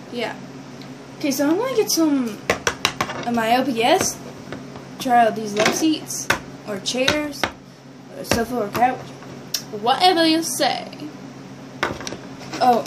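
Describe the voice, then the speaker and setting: A young teenage girl with a high voice chats to the camera in a casual, rambling vlog style. She talks slowly as she shows off her toys. The sound is homemade, with clear background noise from a device mic in a bedroom. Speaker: vlogger showing toy builds. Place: small bedroom, indoors. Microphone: built-in device mic at arm's length. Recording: noisy amateur webcam audio.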